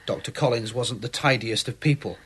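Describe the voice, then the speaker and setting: An actor playing a police inspector in a radio drama, asking measured, probing questions. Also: male voice, medium pitch, fairly bright tone, slightly rough.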